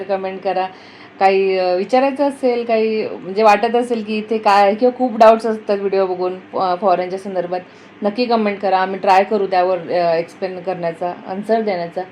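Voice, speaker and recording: A woman's voice: mid-range voice, steady tone, brisk, warm tone, a little hiss or hum, poor audio. A woman from India chatting casually as a travel vlogger, telling stories and mixing in English words. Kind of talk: casual vlog chat.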